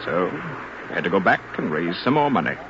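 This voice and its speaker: An exasperated, determined businessman in a 1950s radio drama. His delivery is emphatic and swings widely in pitch. The old recording is muffled, with clear noise behind the voice. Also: sing-song, brisk. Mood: frustrated, weary. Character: driven, exasperated businessman leading an ocean cable venture.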